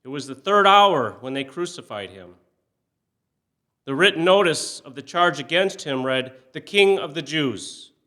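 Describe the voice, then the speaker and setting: A man reading scripture solemnly for a church service, measured and expressive, stressing quoted cries and letting phrase endings fall away softly. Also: on the high side. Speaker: scripture reader.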